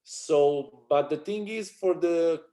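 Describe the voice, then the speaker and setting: A man's voice: on the high side, slow pace, dark tone. A man from Serbia with a Serbian accent, a sports agency owner speaking non-native English in a casual interview, full of restarts and fillers.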